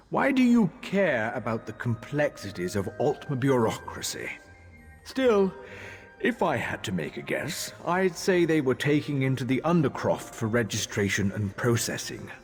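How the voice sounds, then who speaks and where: An actor playing a drowsy, grumpy monk in a video game, grumbling wearily and dismissively. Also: male voice, medium pitch, very animated, measured pace. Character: drowsy, grumpy male monk wanting his nap. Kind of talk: video game character dialogue. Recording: long hall reverb, music behind. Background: music.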